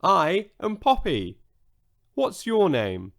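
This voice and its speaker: A man's voice: high voice, wildly swooping pitch, slow pace, vocal fry, fairly bright tone, voice pushed up, heavily acted. A man voicing a wise, friendly pet monkey in a storybook, speaking politely and earnestly. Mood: grateful, friendly.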